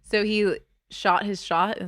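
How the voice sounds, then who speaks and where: A woman guest on a podcast, telling a travel story in a casual, animated way with lots of filler words. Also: mid-range voice, slow, no room sound, studio quality. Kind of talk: casual chat.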